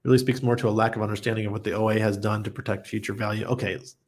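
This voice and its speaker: A man's voice: fairly low pitch, level tone, very fast, darkish tone. A man hosting a casual livestream, reading viewer comments aloud and answering them in a blunt, chatty way, often laughing. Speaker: livestream host.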